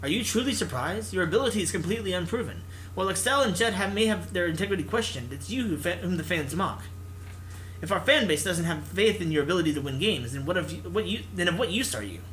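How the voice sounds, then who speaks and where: A man voicing a stern, disappointed corporate executive who speaks in a formal, cutting, measured way. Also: high-pitched, expressive, fairly bright tone, a little hiss or hum, low-quality recording. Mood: cold, disapproving.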